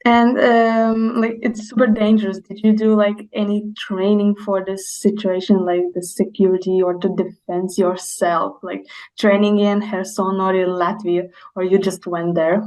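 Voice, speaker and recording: A woman's voice: medium pitch, little pitch movement, measured pace, darkish tone, rough recording. A woman interviewing a guest, asking loose questions full of fillers.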